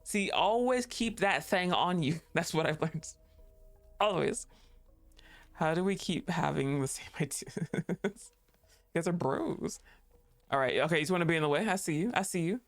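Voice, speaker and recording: A woman's voice: on the low side, animated, normal speed, faint music behind. A woman streamer giving casual commentary while playing a game, playful and blunt, laughing often.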